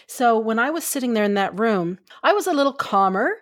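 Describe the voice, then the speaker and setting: A woman's voice: a little high, expressive, fairly quick. A woman from Canada co-hosting a podcast, talking in a casual, chatty way and laughing easily. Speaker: podcast co-host, story editor.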